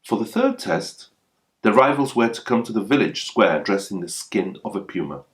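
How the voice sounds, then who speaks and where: A man's voice: lowish voice, animated, moderate pace. A man reading a folktale aloud as a scripted storyteller.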